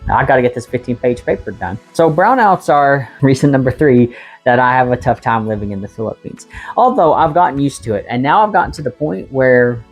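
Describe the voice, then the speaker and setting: Chatty, slightly husky American woman. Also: a bass voice, animated, fairly quick, soft background music. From United States. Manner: chatty, laughs often, frank. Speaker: vlogger. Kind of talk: casual vlog monologue.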